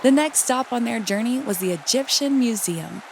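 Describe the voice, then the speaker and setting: A woman's voice: fairly high pitch, lively intonation, moderate pace. A woman narrating a children's storybook as a read-aloud. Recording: clearly noisy background.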